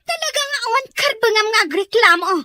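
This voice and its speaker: Spoiled, rebellious Filipino daughter for audio drama, played by a woman: demanding, shrill-high, swinging widely in pitch. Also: animated, brisk, pushed and bright, no room sound, exaggerated voice. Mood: bossy, scornful. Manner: demanding, snappish. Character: spoiled, bossy, rebellious young rich daughter. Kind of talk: amateur audio drama acting.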